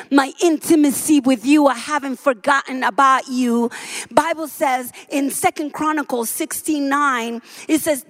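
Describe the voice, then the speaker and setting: A woman from the Dominican Republic preaching a sermon in a church, fervent and building to shouts. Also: high voice. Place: church sanctuary.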